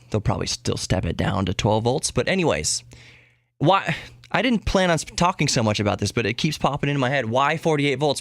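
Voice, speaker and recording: A man's voice: mid-range voice, no room sound, studio quality. A man hosting a podcast solo, talking casually in a rambling way, often interrupting himself and repeating words for emphasis. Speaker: podcast host. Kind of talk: casual solo tech and geek news talk.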